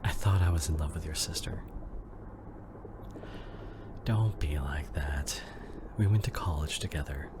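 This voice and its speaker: Male actor as devoted, worried husband, ASMR drama. Very deep, unhurried, breathy half-whisper, noisy audio. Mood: tender, wistful. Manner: slow, intimate, breathy and often half-whispered. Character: devoted, worried husband comforting his injured wife.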